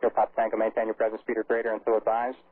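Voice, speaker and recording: Monotone, rapid-fire male air traffic controller. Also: lowish voice. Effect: aviation radio. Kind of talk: clipped procedural radio instructions. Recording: narrowband crackly air traffic radio.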